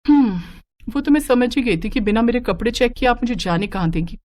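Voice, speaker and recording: A woman's voice: a little high, lively intonation, brisk, darkish tone, pitched above the performer's own voice, natural voice. A woman voicing a modern, outspoken young daughter-in-law in a story, pert and protesting about old rules. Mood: sarcastic.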